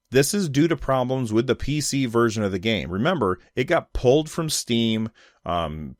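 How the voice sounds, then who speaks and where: A man with a fairly low voice speaks as a solo podcast host. His delivery is conversational and opinionated, leaning on key points for emphasis. The sound is dry and close, with very little room around the voice. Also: no room sound. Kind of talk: casual opinion commentary on video games.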